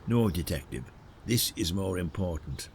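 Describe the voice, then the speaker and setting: A man's voice: sits low, lively intonation, normal speed, vocal fry, a little mellow, a little hiss or hum. A man playing an older retired detective in an audio drama, a frank, coaxing village sleuth. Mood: coaxing, earnest.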